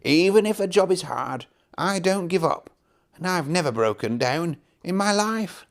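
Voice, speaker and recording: A man voicing a gentle, wistful old traction engine in a children's storybook, speaking plaintively and earnestly. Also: high voice, animated, a little slow, voice pushed up. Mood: earnest, proud. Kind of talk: children's storybook character reading.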